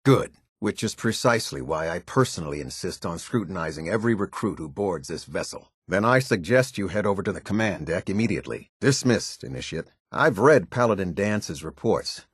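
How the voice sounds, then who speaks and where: A stern, exacting military commander talks to subordinates in a video game. The voice is low and husky, with an animated rise and fall and clipped, authoritative phrasing. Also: male voice, a little fast, few pauses, dry recording, clean studio sound, full character voice. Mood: firm, businesslike. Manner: clipped, formal, authoritative, often dropping low at phrase ends. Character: stern, disciplined, exacting senior military officer. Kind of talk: scripted game dialogue with subordinates.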